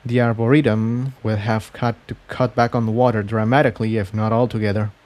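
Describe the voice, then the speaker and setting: A man's voice: fairly low pitch, flat delivery, brisk, darkish tone, natural voice. A man voicing a serious, burdened male pony in a fantasy adventure story, earnest and brooding as he explains. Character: earnest, burdened male pony, former water department head.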